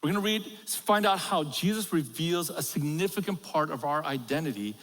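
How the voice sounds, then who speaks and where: A man's voice: fairly high pitch, animated, moderate pace, fairly bright tone. A middle-aged man, a pastor born in Korea and raised in America, giving a sermon full of humorous stories and chuckles at his own jokes. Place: large church auditorium. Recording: long reverb of a big hall.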